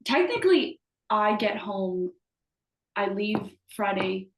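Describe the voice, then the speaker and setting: An American woman in her early twenties co-hosts a casual podcast over a remote call. Her voice sits at an average pitch, and the room around her rings with noticeable echo. She talks informally, as friends do. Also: big pitch swings, slow, noisy background. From United States. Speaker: podcast co-host. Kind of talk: casual chat between friends. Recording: roomy, echoey video call audio.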